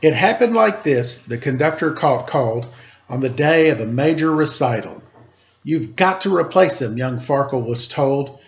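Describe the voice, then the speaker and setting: A man reading aloud to children as a storyteller, with theatrical, drawn-out emphasis and casual asides. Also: medium pitch, expressive. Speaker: storybook reader, teacher. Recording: muffled lo-fi recording.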